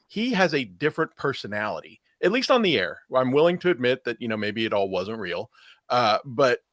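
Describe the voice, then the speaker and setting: A man's voice: mid-range voice, a bit bright, dry recording, studio quality. A man co-hosting a talk show with casual, conversational commentary. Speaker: show co-host.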